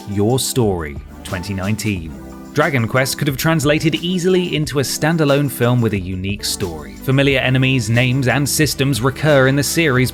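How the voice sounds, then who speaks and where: A man presenting a ranked list as scripted comedic narration, with a dry, sardonic delivery. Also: mid-range voice. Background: background music.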